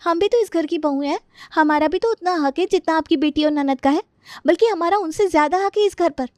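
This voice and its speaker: A woman voices a young daughter-in-law in a storybook family drama, with a high voice. She sounds aggrieved and sarcastic, complaining in a dry, close recording. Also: brisk, a little mellow, no echo.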